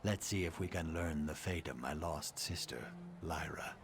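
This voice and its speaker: An actor playing a grim, vengeful assassin in a fantasy game, speaking in a measured, menacing, formal way. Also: male voice, very deep voice, background noise. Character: grim vengeful male assassin of a dark brotherhood. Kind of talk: scripted fantasy game dialogue.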